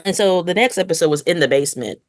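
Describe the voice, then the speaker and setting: A woman hosting a casual podcast review chat, rambling along in an animated way and acting out imagined lines. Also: on the low side, quick pace, no room sound, clean studio sound. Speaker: podcast host.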